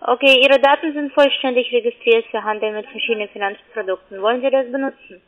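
A woman's voice: fairly high pitch, steady tone, quick pace. A woman, not a native German speaker, making a formal, clipped sales call. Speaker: cold caller. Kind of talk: phone sales pitch. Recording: tinny phone line.

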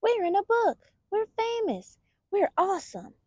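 A woman voicing excitable, adoring little baby bunnies in a storybook, exclaiming gleefully in short bursts. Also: very high-pitched, unhurried, no echo, clean studio sound, pitched above the performer's own voice, full character voice. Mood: gleeful boasting.

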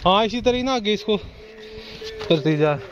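A man in his thirties speaks with a tinge, chatty and teasing as he explains chores to his viewers. He is outdoors on a village rooftop with a phone held at arm's length, and the audio picks up clear background noise. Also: sits high, animated, slow pace, dull audio. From Pakistan. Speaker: village vlogger. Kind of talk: casual vlog narration to camera. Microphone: phone camera at arm's length.

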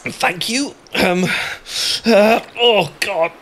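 A man's voice: high voice, extremely slow, fairly bright tone, heavily acted. A man with a British accent playing a shy, adorkable, flustered young man in love, stammering and hesitant in an ASMR boyfriend roleplay. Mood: flustered, panicky. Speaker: audio roleplay performer. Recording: clear background ambience noise.

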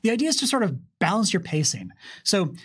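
An American man in his thirties running a tabletop game, narrating and explaining rules in an animated way. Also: sits high, fast, breathy edge. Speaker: game master. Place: small curtained studio, indoors. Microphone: desk microphone on boom arm.

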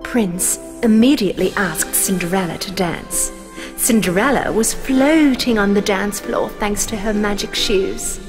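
A woman's voice: mid-range voice, measured pace, over music. A woman narrating a scripted fairy tale as a storyteller.

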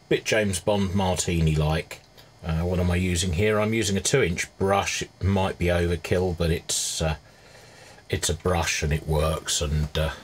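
A man giving an informal hands-on demonstration, thinking aloud as he works at his bench. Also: sits low. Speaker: restorer presenting a hobby repair video. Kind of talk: casual hands-on demonstration commentary. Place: indoor cluttered electronics workbench. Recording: clear background noise, workshop ambience.

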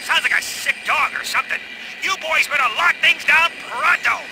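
An actor playing an agitated, bossy police chief barking urgently over a police radio. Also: male voice, very high-pitched, normal speed. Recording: very noisy police radio transmission.

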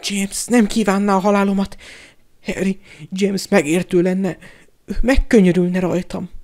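Cowardly male traitor, high whiny voice, pleading, grovelling, audiobook villain. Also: level tone, darkish tone. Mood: desperate pleading. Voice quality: whining, whimpering. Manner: wheedling, grovelling. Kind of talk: audiobook character acting.

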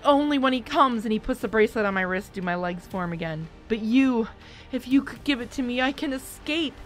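Woman doing a sly succubus lady, begging softly, a bit high. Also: expressive, brisk, lightly acted. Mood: pleading. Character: seductive captive succubus woman.

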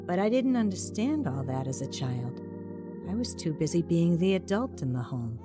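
A grown woman looking back on her childhood in a radio drama. Her voice sits fairly low and stays measured. Music plays clearly under her narration. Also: big pitch swings. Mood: reflective. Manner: reflective, measured. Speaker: narrator recalling her own life. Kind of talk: scripted first-person narration.

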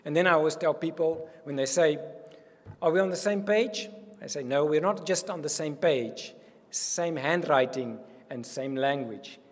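An older man with a South African accent, Afrikaans his first language, delivers an earnest, animated welcome at a podium. His voice sits a little high and husky. A long reverb from the reception hall trails each phrase. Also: normal speed. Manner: earnest and animated, gesturing widely. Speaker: university provost. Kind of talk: welcome speech. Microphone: podium microphone.

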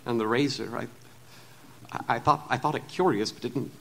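An actor playing a refined, anguished gentleman scientist in a Gothic audio drama, speaking in formal, wordy Victorian diction with hesitant stammers. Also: medium pitch, moderate pace, gravelly, a little hiss or hum, close to an everyday voice. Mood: horrified, faltering.

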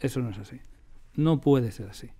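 A man's voice: mid-range voice, moderate pace, warm tone, slightly rough. A man with an accent from Spain talking casually as an interview guest, opinionated, emphatic and colloquial. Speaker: audio drama director, interview guest. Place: radio drama studio.

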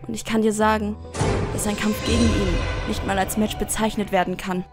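A woman's voice: mid-range voice, little pitch movement, a little fast, lightly acted. A woman voicing an anxious, hot-tempered teenage girl in an emotional, pleading manner for an anime fan dub. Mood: grim, subdued. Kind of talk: dramatic anime dub acting. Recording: fan dub with music underneath. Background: background music.

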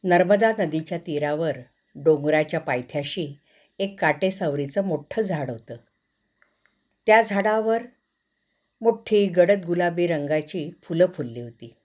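A woman's voice: lowish voice, a little slow, darkish tone, lo-fi sound. A woman storyteller reading a scripted moral tale for children.

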